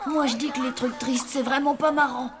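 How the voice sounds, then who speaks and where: A woman's voice: sits high, fairly even intonation, brisk, very noisy, close to an everyday voice. A woman voicing a lazy, mopey zombie child in a children's cartoon.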